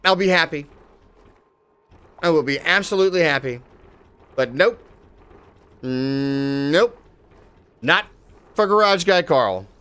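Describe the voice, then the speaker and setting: A man's voice: a little high, takes it slowly, long pauses, smooth. A man live-streaming a game, chatting casually with viewers and swearing freely as he plays. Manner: excitable, swears casually, talks to chat.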